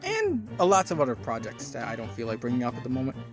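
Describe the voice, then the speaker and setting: A man's voice: mid-range voice, wildly swooping pitch, fast talker, over music. A man hosting a video essay, narrating from a script with wry, conversational asides.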